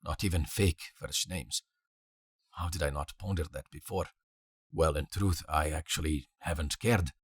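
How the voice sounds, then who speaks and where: A man's voice: rumbling low, measured pace, husky, no echo, clean studio sound, exaggerated voice. A man voicing a jaded, wry character in an audiobook, offhand and blunt. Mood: wry, musing.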